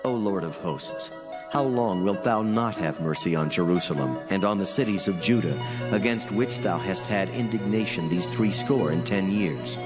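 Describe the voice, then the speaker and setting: A man plays a grave angel delivering divine decrees in a dramatized scripture reading. The voice is low and declamatory, full of command, with prominent music underneath. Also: moderate pace, lightly acted. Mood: solemn. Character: solemn commanding male angel proclaiming God's word.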